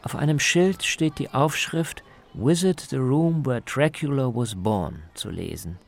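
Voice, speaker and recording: A man's voice: medium pitch, unhurried, creaky. A man reading scripted narration as the reporter of a radio documentary.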